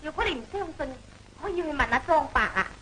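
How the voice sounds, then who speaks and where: An insistent accuser in an old Cantonese film drama, with a Cantonese accent. Her high voice moves in lively swoops. The aged soundtrack is very noisy. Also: quick pace. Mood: insistent, accusing. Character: insistent female accuser reporting a poisoning.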